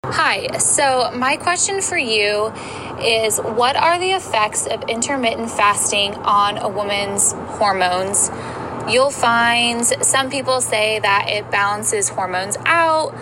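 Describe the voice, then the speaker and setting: A woman leaving a recorded voice message to a podcast, asking a question in a slow, earnest way. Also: fairly high pitch. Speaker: listener with a question. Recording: noisy voice note with vehicle sounds.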